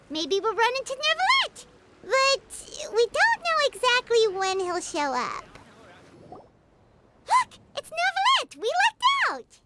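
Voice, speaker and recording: A tiny, curious fairy sidekick from a video game. The voice is childlike and extremely high, swinging with excitement. Music plays prominently behind it. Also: female voice. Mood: excited. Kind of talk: animated game voice acting.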